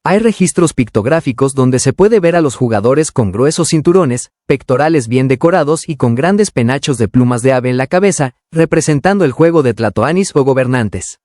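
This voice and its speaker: A man's voice: mid-range voice, expressive, normal speed, few pauses, a little mellow, no echo. Male audiobook narrator, dry sound. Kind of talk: scripted educational narration.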